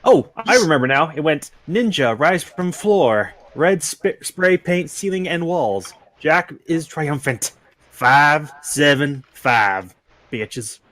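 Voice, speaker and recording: A man chatting casually as a co-reader, halting as he thinks and joking along. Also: on the high side, animated, a bit bright. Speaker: co-reader and commentator. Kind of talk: casual chat.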